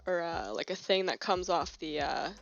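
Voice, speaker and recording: A young woman streaming from her home desk, chatting casually in a rambling, relaxed way, a bit sniffly, recorded on a phone on a holder. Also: on the low side, moderate pace, vocal fry. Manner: rambling, relaxed, many fillers and restarts. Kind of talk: casual live-stream chat while working on keyboard switches. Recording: slightly dull lo-fi phone mic with faint music. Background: faint music and keyboard clicking.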